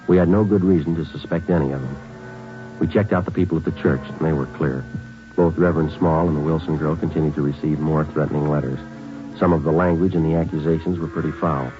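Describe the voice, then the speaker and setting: A dull vintage radio recording with music carries an American police sergeant. His voice is very deep. He is methodical and understated, delivering facts and questions in clipped, even sentences. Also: brisk. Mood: matter-of-fact. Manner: clipped, matter-of-fact, understated. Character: calm, methodical male police sergeant and narrator. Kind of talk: 1950s radio police drama acting.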